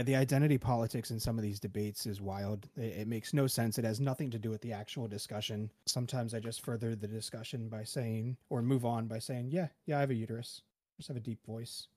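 A man calmly explaining ideas with examples in a casual podcast panel talk. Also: medium pitch, no room sound, studio quality. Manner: calm, explanatory, gives examples.

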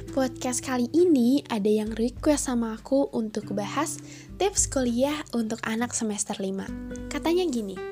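Young woman, stuffy nasal voice from a cold, chatty and encouraging, mellow tone, faint music behind. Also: fairly high pitch. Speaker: podcast host. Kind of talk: casual solo podcast talk.

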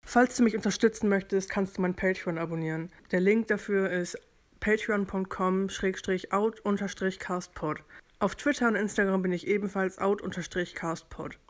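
Young German woman talking casually. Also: mid-range voice. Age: early 20s. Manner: informal, explanatory, self-reflective, circling back to rephrase. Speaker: podcast host. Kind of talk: casual personal monologue to listeners.

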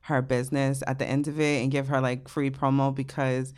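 A woman's voice: very deep voice, little pitch movement, fairly quick, darkish tone. A young adult woman from Brooklyn co-hosting a podcast, chatting casually, laughing often and swearing freely as she tells stories. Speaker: podcast co-host. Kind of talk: casual chat.

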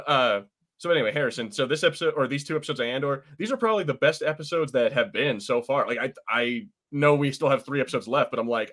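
A man's voice: mid-range voice, fairly bright tone. A man hosting a podcast with casual, jokey banter and reviews, often crude and sweary. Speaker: podcast host.

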